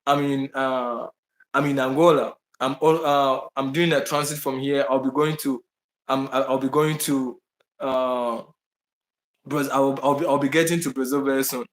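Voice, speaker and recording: A man with a Ghanaian accent talks loosely to his viewers, stumbling into restarts and repeating points for emphasis. His voice sits at an ordinary middle pitch. The recording carries a noticeable room echo. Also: fairly even intonation, normal speed. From Bahamas, raised partly in Ghana. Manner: rambling, many restarts and fillers, emphatic repetition. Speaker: vlogger answering viewer questions. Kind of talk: casual live chat to viewers. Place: indoors in Brazil.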